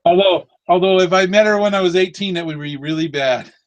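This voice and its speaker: A man's voice: high voice, brisk, dull audio. A man in his late forties hosting a casual live video chat, rambling and correcting himself. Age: 40s. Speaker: live stream host.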